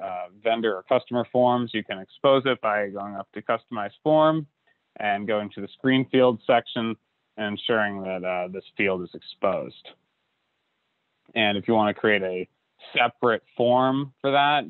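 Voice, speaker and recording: A man giving a relaxed screen-recorded software walkthrough, with lots of uh and you know. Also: on the low side, dry recording. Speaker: software instructor. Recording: dry tinny lo-fi audio.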